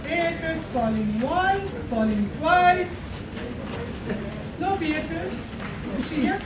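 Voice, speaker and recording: Lady emcee calling out names slow, high voice, echoey room with loud hiss. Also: lively intonation, recorded from a distance. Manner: slow, chatty roll call. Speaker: event emcee. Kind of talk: announcing contestants. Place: college lecture room.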